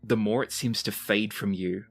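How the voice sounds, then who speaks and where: A game master narrating a tabletop roleplaying game in descriptive, dramatic phrases with deliberate timing. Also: mid-range voice, steady tone, breathy edge.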